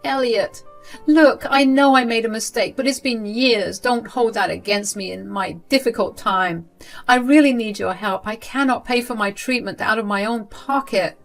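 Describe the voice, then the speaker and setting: A wheedling, manipulative old grandmother, sick and begging, as a woman puts her on. Her voice rides high and whiny, with a faint bed of music underneath. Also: moderate pace, natural voice. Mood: pleading.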